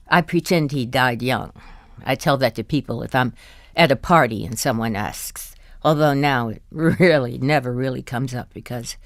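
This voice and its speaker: Wistful middle-aged wife, lowish voice, hesitant n confiding, radio play, very dry sound. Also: female voice, no echo, exaggerated voice. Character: polite, wistful wife, patient and quietly candid. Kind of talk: audio drama acting, confiding monologue.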